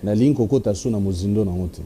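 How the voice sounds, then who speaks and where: Mellow-voiced Congolese TV host. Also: male voice, lowish voice, rapid-fire, a little hiss or hum. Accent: Congolese French. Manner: switches between French and Lingala; formal presenter address to viewers. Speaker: TV show host. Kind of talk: television interview.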